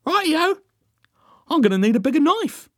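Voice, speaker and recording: A man voicing a chirpy, eager and rather dim male cultist sidekick in a comic audiobook, bright and sing-song in manner. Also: high-pitched, wildly swooping pitch, normal speed, dry recording, studio quality, pitched above the performer's own voice.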